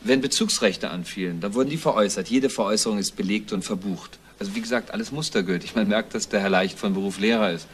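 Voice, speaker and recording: A man's voice: fairly low pitch, fast talker, few pauses, slight background noise, natural voice. A man playing an earnest, thorough police investigator in a German crime radio play, explaining things matter-of-factly. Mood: reporting.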